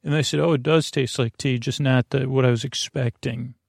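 A man with a very creaky, gravelly voice hosts a sleep podcast. He talks casually and wanders from one aside to the next, often hesitating mid-thought. The sound is dry and close, with no room around it. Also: medium pitch, fairly even intonation, a little fast, no echo. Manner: meandering, hesitant, trailing digressions. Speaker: podcast host. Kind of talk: rambling bedtime sleep-podcast intro, casual monologue.

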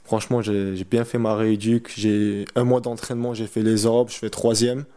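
A man's voice: lowish voice, level tone, a little fast, warm tone. An eighteen-year-old man chatting casually as an interviewed athlete, using colloquial speech and repeating phrases for emphasis. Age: 18 years old. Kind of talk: casual chat.

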